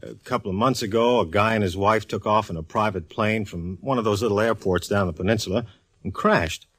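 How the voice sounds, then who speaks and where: A deadpan police inspector speaks in a low, dry voice with easy banter. The sound is close and without room echo. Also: male voice, brisk. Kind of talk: 1940s radio acting.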